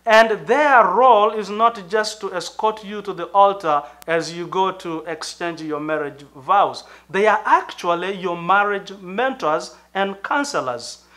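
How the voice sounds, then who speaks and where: Middle-aged tanzanian pastor guy, earnest and measured, bright clear-edged voice, kinda high for a man. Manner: earnest, measured, with thoughtful pauses and fillers. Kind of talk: sermon-like talk to camera.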